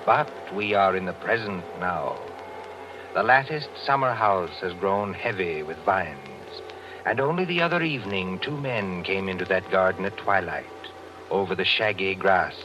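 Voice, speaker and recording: A man narrating a 1940s radio drama in a deliberate, ominous, theatrical manner. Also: deep voice, lively intonation, noisy background. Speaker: narrator and announcer. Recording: muffled old radio recording with music. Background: music.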